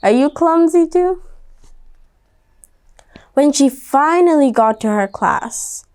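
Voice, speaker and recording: Lady reading a kids book out loud, high voice, takes it slow, chats to the kids as she goes. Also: expressive. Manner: conversational, addresses child listeners directly. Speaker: storybook reader. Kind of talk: children's read-aloud with asides to young listeners.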